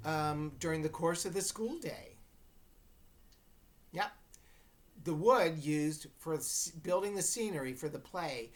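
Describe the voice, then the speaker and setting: Low-pitched, slow-paced woman teacher. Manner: explanatory, checks understanding with questions. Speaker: English teacher. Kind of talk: dramatic classroom read-aloud with questions to students.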